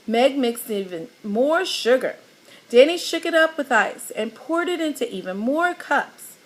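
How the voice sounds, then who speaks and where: A woman reading a children's picture book aloud, animated and teacherly, pausing to explain the pictures. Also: high-pitched, a little hiss or hum, poor audio.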